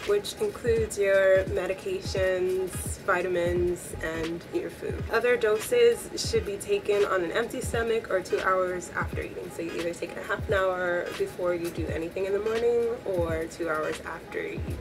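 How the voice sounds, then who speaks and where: A young woman talks straight to her camera in a small bedroom, her voice a little hoarse from a cold. She sounds chatty and upbeat, with a somewhat low pitch for a woman. Background music runs clearly under her voice. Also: fairly even intonation, moderate pace, hardly any pauses. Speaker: beauty vlogger. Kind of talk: casual talk to camera.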